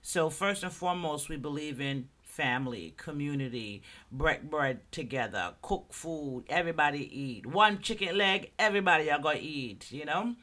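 Guy hosting his own podcast, just chatting, keeps asking "right?", kinda high and bright sounding. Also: animated, moderate pace, hardly any pauses. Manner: conversational, loose, asks "right?" often. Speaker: podcast host. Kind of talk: casual solo commentary.

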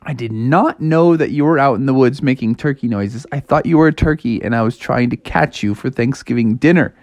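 A man's voice: mid-range voice, brisk, a little mellow, no room sound, lightly acted. A man voicing an eager, well-meaning frog hunter in a children's story, earnest and full of exclamation. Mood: apologetic.

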